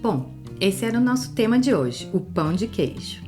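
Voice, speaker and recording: A woman from Brazil, hosting a language podcast in a clear, friendly, teacherly way. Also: medium pitch, very animated, moderate pace, over music. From southern Brazil. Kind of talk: casual conversational podcast chat.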